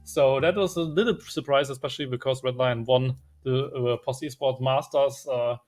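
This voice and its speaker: A man's voice: mid-range voice, fairly even intonation, moderate pace, a little mellow. A man co-casting an esports show, giving casual analysis with frequent hesitations. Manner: conversational, filler-heavy with frequent uhs. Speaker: esports co-caster.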